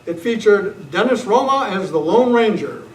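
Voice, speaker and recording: A man's voice: high voice, animated, moderate pace. A man reading scripted credits as an old-time radio announcer at a club meeting. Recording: echoey distant room, loud noise and crowd. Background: audience noise.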